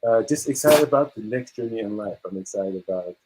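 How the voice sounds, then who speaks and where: A man's voice: on the low side, expressive, fairly quick, noisy background. A man from Guyana, a musician chatting casually as an interview guest in an encouraging, easygoing manner.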